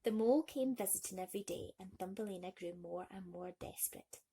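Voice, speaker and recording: A woman's voice: mid-range voice, a little fast, dark tone, husky. A woman storyteller narrating a bedtime story expressively for children.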